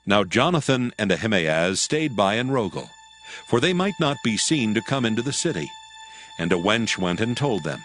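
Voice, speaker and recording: A man narrates scripture in a fairly low voice. His storytelling is solemn and deliberate, as in a dramatized audiobook. Prominent music runs behind him.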